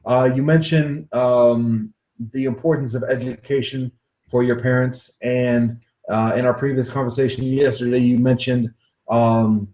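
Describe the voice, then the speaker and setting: A man hosts a conversational podcast, speaking at a middle pitch. He talks in a halting, reflective way, padding his thoughts with ums and restarts. His voice carries a noticeable room echo. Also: mellow. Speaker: podcast host. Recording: roomy echo.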